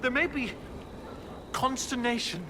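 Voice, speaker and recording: An eager, fussy scholar in game dialogue. He stammers politely in a high, very lively voice over a noisy background. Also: a little slow. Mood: excited, eager. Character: excitable, flustered scholar, earnest and eager.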